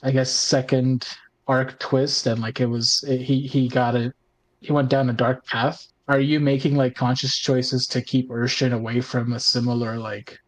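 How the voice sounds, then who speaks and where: A man with a mid-pitched voice talks casually, as a podcast co-host among friends. His delivery is informal and halting, padded with "like" and the odd mild swear word. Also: little pitch movement, rough recording. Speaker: podcast co-host and tabletop player. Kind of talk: casual roundtable chat.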